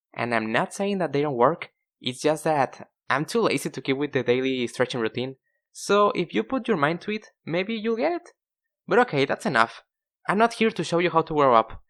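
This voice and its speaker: A man's voice: a little high, a bit bright. Young male narrator, casual storytime delivery, conversational and self-deprecating. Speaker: narrator of an animated storytime video. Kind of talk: casual scripted storytelling with acted dialogue.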